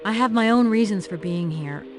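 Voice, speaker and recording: A determined, independent young heroine from a video game, voiced by a woman. She talks firmly at a middling pitch, sure of herself, with music clearly playing behind her. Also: expressive, moderate pace, natural voice. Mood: resolute. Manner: firm, self-assured. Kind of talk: video game cutscene acting.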